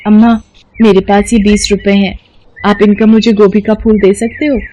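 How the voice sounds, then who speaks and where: A woman voicing a cheerful, kind, thrifty young village girl in an animated moral story, with music behind. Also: medium pitch, normal speed, slight background noise, close to an everyday voice. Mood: thoughtful, kind.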